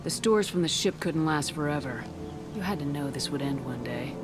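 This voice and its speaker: A low-pitched woman's voice, a commanding space officer in a sci-fi game. She is direct and no-nonsense. Loud music sits behind her words. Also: animated, moderate pace. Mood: probing. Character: tough, commanding female space commander, adult. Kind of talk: video game dramatic acting.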